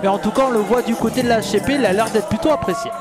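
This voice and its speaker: A man from Brittany calls a wrestling show in a lively, talkative manner, his voice sitting high. Loud crowd noise and music play under his commentary. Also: very fast. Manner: lively, chatty, often addresses co-host.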